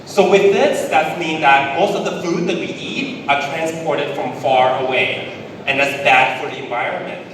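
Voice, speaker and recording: A man from Thailand with a Thai accent, giving a public presentation in English as a conference speaker, deliberate and emphatic with repetition. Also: fairly high pitch, fairly bright tone. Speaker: conference speaker, business executive. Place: large indoor event hall. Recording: very echoey hall, distant, noisy ambience.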